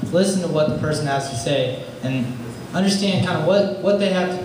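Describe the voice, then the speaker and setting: A young man answers debate questions in a halting, casual way and leans on many fillers. He sounds far from the mic in a very echoey room with clear background noise. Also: fairly high pitch, darkish tone. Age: young adult. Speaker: student government candidate.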